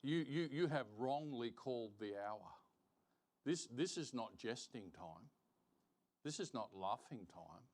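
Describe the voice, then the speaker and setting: Male preacher for a Sunday school lesson. Medium pitch, deliberate, emphatic, leans on repetition. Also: expressive. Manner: emphatic, deliberate, repeats phrases for stress. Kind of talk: sermon, Bible teaching with verse reading. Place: church, live-streamed service.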